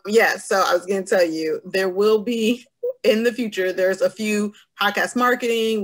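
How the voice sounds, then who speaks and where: A woman's voice: medium pitch, creaky, a bit bright. A woman in her thirties moderating an online panel in a chatty, playful, informal way. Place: small room indoors, video call. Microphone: computer video call.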